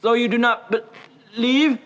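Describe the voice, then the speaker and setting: A teenage male student acting on stage as a gentle, earnest outcast who speaks in a halting stammer. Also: mid-range voice, steady tone, muffled recording, close to an everyday voice. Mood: questioning. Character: gentle, earnest, deformed young man seeking acceptance. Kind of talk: high school stage play acting.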